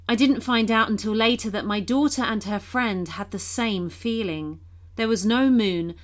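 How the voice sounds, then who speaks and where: A woman reading a scripted first-person horror story as a narrator. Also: medium pitch. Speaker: reader of listener-submitted horror stories.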